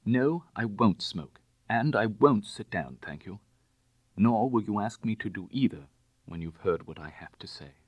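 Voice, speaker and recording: A man voicing a bitter, proud young gentleman who is ruined and desperate, speaking formally and emotionally in an audiobook story. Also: lowish voice, expressive, measured pace, husky, no echo. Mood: agitated. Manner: emotional, self-reproaching, formal.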